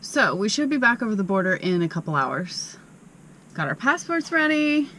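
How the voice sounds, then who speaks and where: A woman's voice: medium pitch, very animated, moderate pace, bright, forceful tone, rough recording. A woman from the United States chatting casually in a travel vlog, giggly and self-deprecating, with light background noise. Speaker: van life vlogger.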